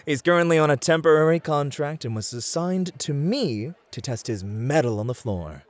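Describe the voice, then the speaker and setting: Man playing a smooth, flirty club host, lively intonation. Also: a little high, normal speed, dry recording, natural voice. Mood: welcoming, charming. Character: smooth, flirty, confident male club host mentoring a rookie. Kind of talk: scripted ASMR roleplay acting.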